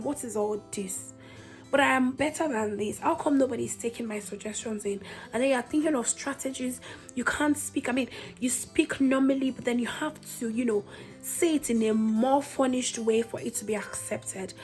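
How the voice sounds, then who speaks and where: A woman with a Nigerian accent speaks straight to her viewers in a somewhat high voice. She is emphatic and frank, making her points like a personal vlog and punctuating them with rhetorical questions. Faint music plays behind her. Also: darkish tone. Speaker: senior nurse and vlogger. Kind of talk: candid vlog monologue to viewers.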